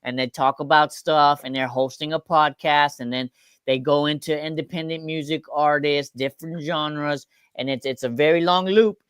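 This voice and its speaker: Male, slightly high and clear, casual explainer, loose chained sentences. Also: fairly even intonation, moderate pace, a bit bright, no echo, clean studio sound.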